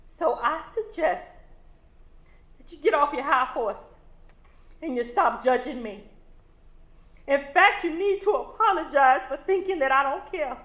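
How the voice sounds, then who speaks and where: A woman's voice: high voice, a little slow, pauses often, fairly bright tone. An actress playing a defensive mother who sternly lectures her son, in a roomy echo. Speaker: actor in a stage play.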